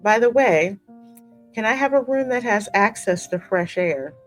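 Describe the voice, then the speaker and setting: A woman's voice: mid-range voice, expressive, measured pace, voice pushed up, natural voice, rough recording. A woman voicing an earnest, wary young heroine with lost memories in a story game. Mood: wary, polite. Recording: music running behind the voice.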